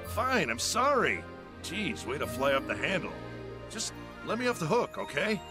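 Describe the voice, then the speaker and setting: Game bit part: grumbling male soldier, defensive, slangy, high voice swinging wildly. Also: big pitch swings, moderate pace, music in the background. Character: griping, defensive male rank-and-file soldier. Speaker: minor video game character. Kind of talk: English game voice acting.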